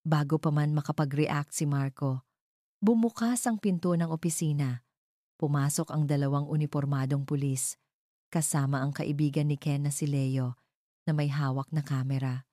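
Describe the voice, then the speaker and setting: A woman narrates a scripted drama as storyteller. Her voice is low and mellow, recorded dry and close, with a dramatic delivery. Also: steady tone, normal speed, no room sound.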